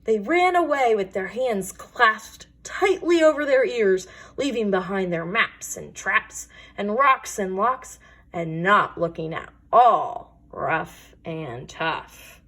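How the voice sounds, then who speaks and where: A woman storyteller reading a children's picture book aloud with expressive, deliberate emphasis. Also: medium pitch.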